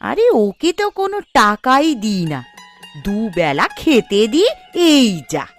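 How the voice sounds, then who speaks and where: A woman playing a sharp-tongued, scheming middle-aged village mother and aunt in a cartoon, bossy and sarcastic. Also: fairly high pitch, huge pitch swings, a little slow, faint music behind, exaggerated voice. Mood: scornful, scheming. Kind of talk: Bengali cartoon voice acting.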